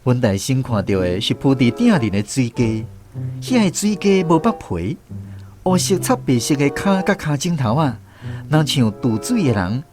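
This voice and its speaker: A man with a Taiwanese accent narrating a radio drama as an expressive scripted storyteller, over music. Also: medium pitch, normal speed.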